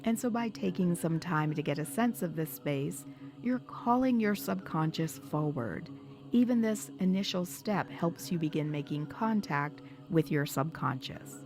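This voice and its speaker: A woman hypnotherapist leading a guided meditation in a soothing, measured, instructional manner. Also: fairly low pitch, expressive. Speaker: hypnotherapist guiding a session. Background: background music.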